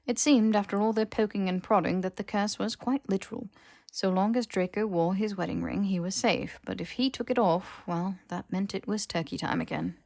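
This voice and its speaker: A woman's voice: mid-range voice, normal speed, vocal fry, darkish tone, no echo, clean studio sound. A woman reading scripted fiction as an audiobook narrator.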